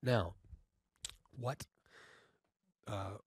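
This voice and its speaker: Man, husky low voice, informal tutorial instructor, halting step-by-step delivery, dry close-mic sound. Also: no echo. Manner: halting, often checking in with okay. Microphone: close studio mic through audio interface.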